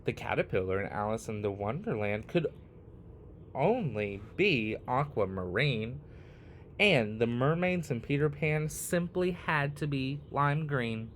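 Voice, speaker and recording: A man reading a picture book aloud expressively, in short phrases with deliberate, dramatic pauses. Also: mid-range voice, animated, fairly bright tone, slight background noise. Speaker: read-aloud storyteller.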